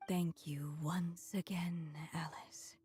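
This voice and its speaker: A gentle witch near her end speaks softly and breathily. The voice is lowish, dark and very slow, in anime game style with faint ambience behind. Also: steady tone, faint music behind. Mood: fading, tender. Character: gentle dying witch storyteller saying farewell.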